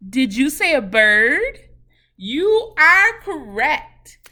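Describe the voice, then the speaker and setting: A woman preschool teacher leading a children's craft lesson in an animated, sing-song way, asking questions and answering them herself. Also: high voice, extremely slow.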